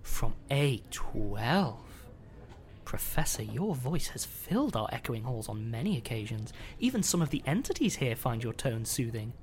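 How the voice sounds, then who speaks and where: A woman's voice: rumbling low, sing-song, a little slow, darkish tone, exaggerated voice. A woman playing a devout, reverent and welcoming cult follower in a horror audio drama. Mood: reverent, awed.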